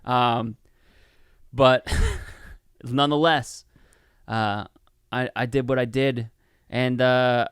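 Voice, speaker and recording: Guy cohosting a movie podcast, crisp voice, no room echo, goofy and sweary, just chatting. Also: medium pitch, steady tone, slow, a bit bright, clean studio sound. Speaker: podcast host. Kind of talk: casual chat, movie review banter.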